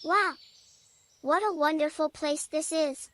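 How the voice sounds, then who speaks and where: A performer voicing a gentle young forest animal in a bedtime story, with a childlike voice and simple, deliberate phrasing. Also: high-pitched. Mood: delighted. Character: gentle young forest animal friends, slow snail and companion. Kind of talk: bedtime story character dialogue. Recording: nature ambience with birdsong behind. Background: birdsong and forest ambience.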